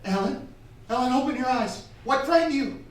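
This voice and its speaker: A woman playing an excitable, protective young man, urgent and blustering, in a live radio drama. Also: sits high, normal speed. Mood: alarmed, urgent. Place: stage of a live theatre performance. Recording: very echoey hall, distant from mic.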